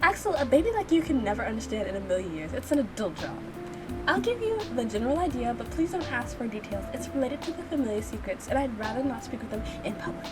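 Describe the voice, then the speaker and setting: Woman playing haughty male game mafioso, sly, sardonic, self-important, lively intonation, music behind. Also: a little high, quick pace. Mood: pompous, evasive. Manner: pompous, sardonic.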